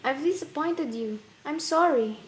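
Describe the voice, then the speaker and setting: An actress playing a poised, gentle, candid young woman with a measured, genteel manner in a radio play. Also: high-pitched, animated. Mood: gentle, tactful. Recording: faintly noisy radio play recording.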